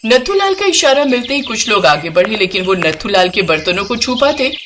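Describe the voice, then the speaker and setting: A woman narrating an animated moral story with an even storytelling delivery. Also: a little high, fairly quick, noisy background.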